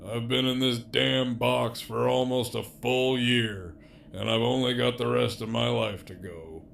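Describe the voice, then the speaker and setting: A man's voice: medium pitch, fairly even intonation, unhurried, vocal fry, a bit bright, slight background noise. A man playing a sardonic, foul-mouthed jailed villain in an audio drama, with a wry, sarcastic drawl. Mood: wry, resigned.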